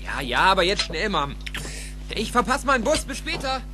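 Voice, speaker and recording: An actor playing a brash young man, an older brother, brisk and offhand in a film audio drama. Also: high-pitched, big pitch swings, over music. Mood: hurried.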